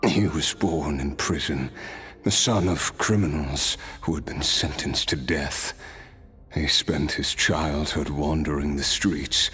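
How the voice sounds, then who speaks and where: An actor playing a weary immortal man recounting his youth in a measured, wistful but resolute way for a video game. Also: rumbling low, a bit bright, slightly rough, exaggerated voice. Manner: measured, unhurried. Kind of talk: game voice acting, reflective storytelling.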